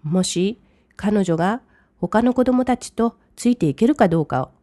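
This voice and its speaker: A woman who teaches English, reading each English line slowly and then translating it briskly. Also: mid-range voice, animated, mellow, no room sound, clean studio sound. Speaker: language teacher. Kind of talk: bilingual lesson commentary.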